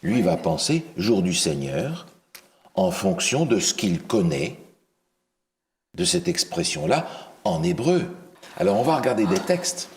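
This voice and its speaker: Deep-voiced man teaching scripture. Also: big pitch swings, unhurried, gravelly, pauses often, slight background noise. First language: not English. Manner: questioning, hesitant with fillers and restarts. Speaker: preacher teaching a Bible study. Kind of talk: interactive Bible teaching.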